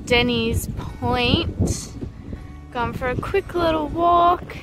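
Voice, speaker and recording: A young woman with an Australian accent chats and giggles to a phone camera at arm's length, outdoors on a windy jetty. Her voice sits high and her delivery is unhurried. Loud music plays under the recording. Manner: chatty and giggly, talks to the camera. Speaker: vlogger. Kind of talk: casual vlog narration. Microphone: phone held at arm's length.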